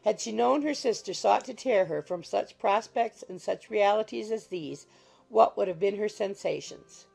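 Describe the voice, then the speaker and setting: A woman narrates a literary audiobook from a script. Her voice is mellow and soft-edged, with heavy creak running through it. Quiet music plays underneath. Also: medium pitch, normal speed, low-quality recording. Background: faint music.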